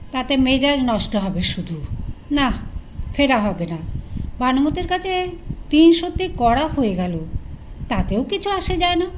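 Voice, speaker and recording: A woman narrating a literary story from a script as an audiobook reader. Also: high-pitched. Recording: dull lo-fi sound with faint noise.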